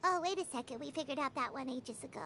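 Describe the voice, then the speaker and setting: A woman voicing a small, excitable, naive childlike girl companion in a video game, squeaky and theatrical in her delivery. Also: normal speed, very noisy, exaggerated voice. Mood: animated. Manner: refers to herself in third person, exclaims theatrically. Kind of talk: video game character voice acting. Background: background music.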